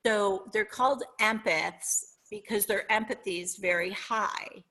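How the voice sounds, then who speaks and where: A middle-aged woman with a Minnesotan accent, speaking as an interview guest in an earnest, reflective way, often pausing to search for words. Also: medium pitch, sharp tone, poor audio. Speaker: guest author. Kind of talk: podcast interview.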